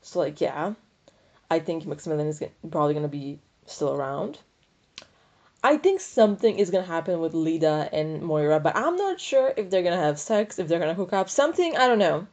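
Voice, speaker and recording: Casual, opinionated woman with a lowish voice. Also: very animated, brisk, a little mellow. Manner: rambling, opinionated, thinking aloud; informal, slangy, freely swearing. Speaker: book review podcast host. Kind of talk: casual unscripted book discussion.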